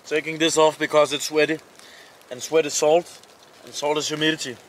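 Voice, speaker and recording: A man with a Danish accent chatting casually to camera as an outdoor vlogger, in short, plain, halting phrases, recorded outside. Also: on the high side, little pitch movement, slight background noise. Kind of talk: casual chat narrating camping tasks to camera. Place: outdoors at a winter campsite.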